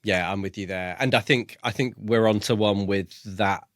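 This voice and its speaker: A man's voice: on the low side, moderate pace, no room sound, clean studio sound. A man co-hosting a book podcast in a casual, self-deprecating and slightly hesitant way. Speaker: podcast co-host.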